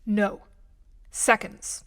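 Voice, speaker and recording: A woman's voice: very slow, fairly bright tone. A woman voicing a dry-witted, composed young gamer in an audio drama, speaking precisely and formally. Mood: tense, precise.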